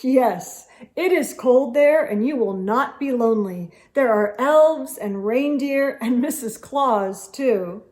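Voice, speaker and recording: Woman as kindly old Santa, slow, measured, earnest, reassuring, storybook delivery. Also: a little high, lively intonation, darkish tone. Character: kindly, wise Santa, warm-hearted old man.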